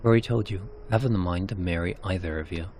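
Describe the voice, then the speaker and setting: A man voicing a gentle, composed woman in a narrated game story. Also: sits low, very fast, slight background noise, pitched below the performer's own voice, natural voice. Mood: composed, wistful. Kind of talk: narrated game cutscene character.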